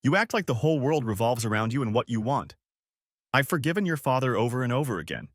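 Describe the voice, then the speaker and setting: A man's voice: mid-range voice, sing-song, fast, no room sound, studio quality. A man reading a story aloud, voicing a stern middle-aged mother who scolds her grown daughter bluntly. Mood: scolding.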